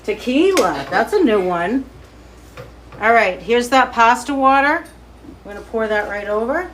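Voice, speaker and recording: A woman with a light Boston accent hosting a live cooking demo from her kitchen, chatty and excitable as she talks to viewers. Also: on the high side, a little slow, a little hiss or hum. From Boston area. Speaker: cooking show host. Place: home kitchen, indoors.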